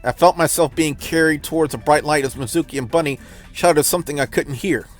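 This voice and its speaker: A man's voice: on the high side, fairly quick. A man hosting a let's play, reading visual novel narration aloud in a dramatic storytelling style. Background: music.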